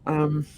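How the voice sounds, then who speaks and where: A man, croaky from just waking up, rambling chattily to his live-stream viewers from home and greeting them by name. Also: darkish tone. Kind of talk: casual live-stream chat. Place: indoors at home. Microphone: desk microphone.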